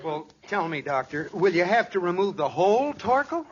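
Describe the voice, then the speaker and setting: Old radio sitcom husband, exasperated and sly, very up and down, faint hiss. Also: male voice, sits high, very animated, normal speed, crowd noise. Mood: feigned concern. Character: exasperated husband conspiring against his scheming wife. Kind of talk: 1940s radio comedy acting. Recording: dull, slightly noisy old radio recording.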